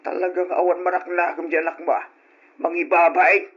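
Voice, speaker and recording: An actor playing a stern, hot-tempered middle-aged father in a radio drama, forceful and scolding. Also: high voice, level tone, fairly quick, slightly rough. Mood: indignant. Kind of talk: Ilocano radio drama acting. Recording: dull lo-fi old radio recording.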